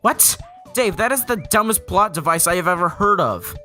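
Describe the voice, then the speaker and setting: A young man narrating a scripted comedy movie review, sarcastic and deadpan, often jumping up in pitch for a joke. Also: moderate pace, faint music behind. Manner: sarcastic, deadpan jokes with sudden pitch jumps.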